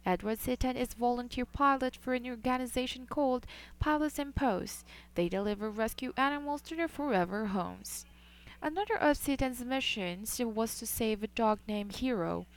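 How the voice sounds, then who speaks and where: A woman with a somewhat high voice reads a scripted news feature. She keeps a measured, even news delivery throughout. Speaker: news reporter.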